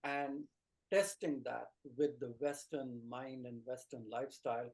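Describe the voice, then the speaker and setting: A middle-aged man with an Indian accent and a mid-pitched voice speaks as a doctor and teacher being interviewed. He is earnest, laying out his points in short phrases and lists. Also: fairly even intonation, unhurried, darkish tone, poor audio. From rural India. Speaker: Ayurvedic doctor and teacher, guest. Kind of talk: explanatory interview talk.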